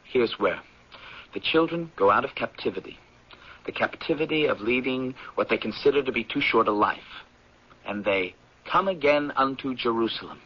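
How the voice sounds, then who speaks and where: An American actor playing an eager, idealistic anthropology professor in a 1970s radio drama, with an earnest, persuasive delivery and a husky voice. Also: male voice, mid-range voice, lively intonation. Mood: excited, persuasive. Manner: earnest, persuasive, deliberate when reading aloud. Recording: dull, lo-fi vintage radio recording.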